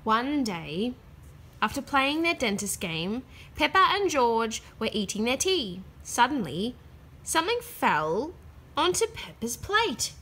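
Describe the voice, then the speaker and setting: A woman reading a children's book aloud as an expressive, dramatic storyteller. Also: fairly high pitch, slow pace, husky.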